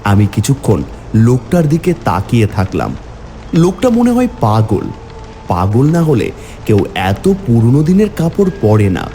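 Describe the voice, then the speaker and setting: Male cartoon horror narrator: dark warm timbre, highly expressive pitch, theatrical first-person storytelling. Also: a little slow, soft background music. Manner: theatrical, exclamatory in dialogue.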